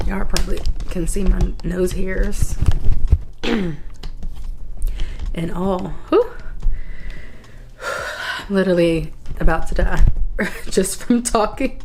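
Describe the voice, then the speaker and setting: A woman in her thirties chatting casually to camera as a vlogger. She sounds stuffy and congested and rambles with sighs and coughs. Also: medium pitch. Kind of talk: casual chat.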